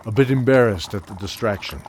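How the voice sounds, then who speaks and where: A man's voice: lowish voice, fairly quick, slight background noise, close to an everyday voice. A man playing a bearded old knight, fatherly and wistful, telling his tale hesitantly in an audio drama. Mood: hesitant, proud. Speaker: narrator and knight character.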